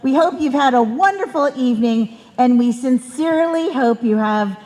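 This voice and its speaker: A woman's voice: sits high, expressive, normal speed, a little mellow. A woman announcing a curtain call live on stage for a radio theater troupe. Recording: echoey hall with audience.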